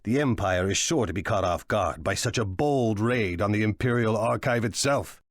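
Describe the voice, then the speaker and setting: An actor playing a cunning, ruthless crime lord in a video game, speaking in a measured, condescending and deliberate way. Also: male voice, lowish voice, dry recording, studio quality, close to an everyday voice. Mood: calculating, commanding. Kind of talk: dramatic video game voice acting.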